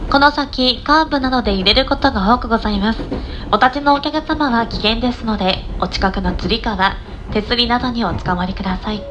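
A woman's voice: on the high side, brisk. A woman conductor giving formal, polite announcements on board a train. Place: inside a moving train, over the speakers. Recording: train cabin announcement with vehicle noise. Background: faint train running noise.

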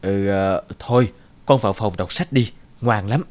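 A man voicing a big, mild-mannered middle-aged father in a cartoon, measured and fatherly. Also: on the low side, close to an everyday voice. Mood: mild, conceding. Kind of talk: cartoon dubbing. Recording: guitar music loud behind.

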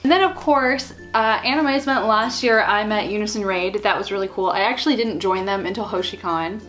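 A woman's voice: medium pitch, a little fast, fairly bright tone, faint music behind. A young woman in her twenties chatting animatedly to a webcam in a small bedroom, telling stories and acting out what her friends said. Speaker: vlogger. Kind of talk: casual storytime chat.

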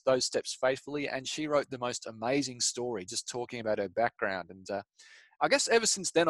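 A man's voice: medium pitch, no echo. A man in his thirties with an Australian accent, hosting an interview in an upbeat, jokey way over a headset on a video call. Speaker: podcast host. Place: home room.

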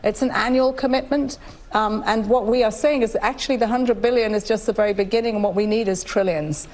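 A middle-aged woman from Nigeria answering questions in a live TV interview, earnest and measured, with frequent fillers and restarts. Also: on the high side, fairly even intonation, darkish tone, slight background noise. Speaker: guest, UN official. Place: studio, indoors.

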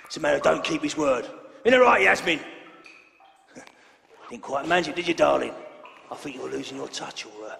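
Older Cockney gang boss, very gravelly, theatrical menace, swooping delivery, long reverb. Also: male voice, a little high, animated, moderate pace, long pauses, pushed and bright, exaggerated voice. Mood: mocking menace. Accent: London Cockney. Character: ageing male London crime boss, menacing and theatrical. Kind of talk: video game cutscene acting.

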